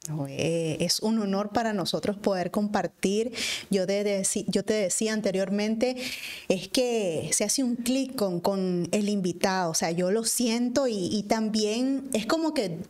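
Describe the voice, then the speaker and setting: A woman hosting an interview podcast, warm and affirming, using vos. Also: mid-range voice, measured pace. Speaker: podcast host.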